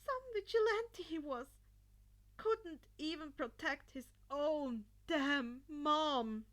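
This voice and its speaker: A woman voicing an anxious, guilt-ridden teenage boy in a fan fiction audiobook, pleading in halting phrases. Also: high voice, fairly bright tone. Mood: anguished guilt.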